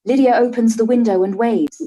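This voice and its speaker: Woman narrator, stage directions, mellow, calm, even. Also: fairly high pitch, a little fast. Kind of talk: reading stage directions in a live-read radio play.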